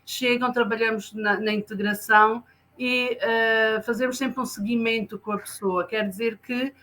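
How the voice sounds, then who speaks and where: A woman with a bright, forward voice describes the social services her centre offers. Her pitch stays flat and even. She restarts phrases often and searches for words. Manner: halting, many fillers and restarts. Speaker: community centre social worker. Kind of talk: explaining services in an interview.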